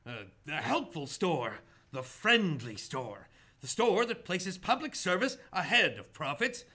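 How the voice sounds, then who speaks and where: A man's voice: high voice, very animated, unhurried, bright, forceful tone, heavily acted. A man acting in a radio play as a hearty, commanding, blustery department store owner. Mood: expansive, pleased. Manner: blustery, emphatic, expansive.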